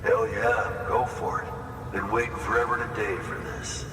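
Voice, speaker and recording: A man voicing a gruff, weary but friendly depot chief in a video game, with a folksy, plainspoken manner. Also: on the low side, steady tone, moderate pace, natural voice. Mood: wry, friendly. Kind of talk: cinematic video game acting. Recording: echoey hall, distant, noisy ambience.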